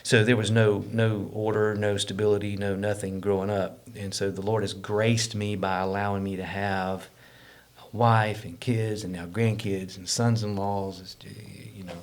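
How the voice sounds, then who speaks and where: Middle-aged Southern preacher with a low voice. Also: male voice, flat delivery, moderate pace. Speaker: podcast guest, preacher. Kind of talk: personal storytelling.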